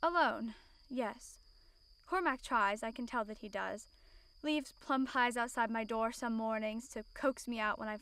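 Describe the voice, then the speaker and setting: A woman's voice: fairly high pitch, a bit bright. A woman playing a lonely, courteous young royal outsider in an amateur audio drama, speaking earnestly and formally.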